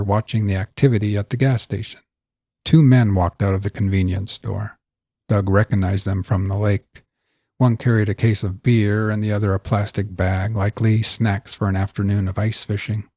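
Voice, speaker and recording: A man's voice: on the low side, warm tone, dry recording, studio quality. A man hosting and narrating a scripted fiction story. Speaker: host and narrator.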